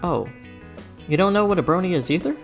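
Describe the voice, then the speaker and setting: A woman's voice: lowish voice, animated, brisk. A woman narrating a scripted video essay as host, with a theatrical, sarcastic delivery and exaggerated emphasis. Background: background music.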